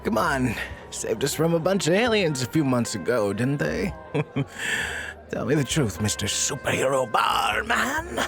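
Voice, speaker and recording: A man's voice: a little high, very animated, measured pace, gravelly, over music, full character voice. An actor playing a bitter, drunken, grieving father in an audio drama, sarcastic, profane and weary. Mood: bitter mocking.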